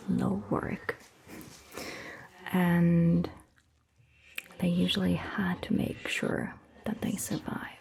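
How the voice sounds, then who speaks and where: A woman playing a calm, attentive vampire doctor in a soft, breathy ASMR roleplay whisper, with measured, gentle phrasing. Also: mid-range voice, slight background noise. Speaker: ASMR performer.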